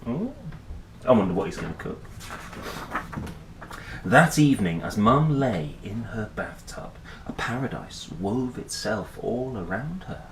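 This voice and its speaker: A man hosting a children's read-aloud, telling a picture book in a playful, expressive, dramatic way with chatty asides. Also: lowish voice, unhurried. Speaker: host reading picture books aloud. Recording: roomy echo, faint background noise.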